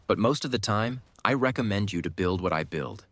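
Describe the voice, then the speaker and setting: A man's voice: on the low side, expressive, brisk, no room sound. A man giving scripted, instructive game guide commentary with a cocky, playful streak. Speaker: gaming guide creator, commentator.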